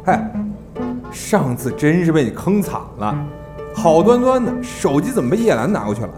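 An actor playing a brisk, teasing talent manager in a Mandarin audio drama, chatty and exasperated in his banter. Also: on the high side, sing-song. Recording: music behind the voice.

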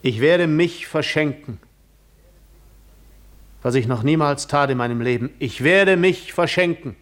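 Radio drama: brooding, weary adventurer, somewhat high male voice, defiant, terse, declamatory lines. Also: unhurried, fairly bright tone. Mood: defiant, resolved. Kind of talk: 1950s German radio play acting.

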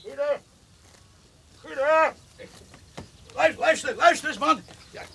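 An actor playing a flustered, simple farmhand who stammers and repeats himself in a radio play. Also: male voice, very high voice, takes it slowly, vocal fry, pushed and bright, heavily acted. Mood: flustered, apologetic.

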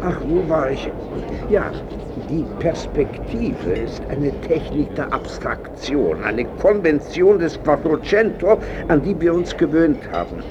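Animated, cantankerous old man. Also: on the high side, muffled recording, noisy background. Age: older. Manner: lecturing, peremptory, grumbling. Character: imperious, cantankerous old male painter, blind and proud. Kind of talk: radio drama acting.

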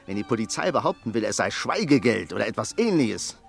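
An actor in a radio play, playing a shrewd, self-satisfied and suspicious man with a deliberate, confiding manner. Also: fairly low pitch, big pitch swings, creaky, faint music behind. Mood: defensive.

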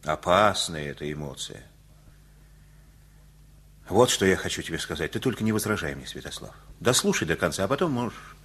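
An actor in a radio play as a kindly, folksy older male neighbour who preaches in an avuncular, colloquial way with a husky voice. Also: deep voice, animated, normal speed, pauses often, fairly bright tone, heavily acted. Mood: earnest admonishing.

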